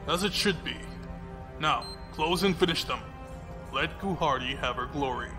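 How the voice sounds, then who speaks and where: An actor playing a proud, stubborn villainous fleet commodore with a haughty, commanding manner in a space opera audio drama. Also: male voice, on the high side, moderate pace, loud music behind the voice, close to an everyday voice. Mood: cold, smug.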